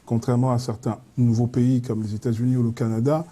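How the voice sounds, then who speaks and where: A man appearing as a guest on a studio debate, speaking in long, measured sentences and pausing often. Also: medium pitch, little pitch movement, warm tone.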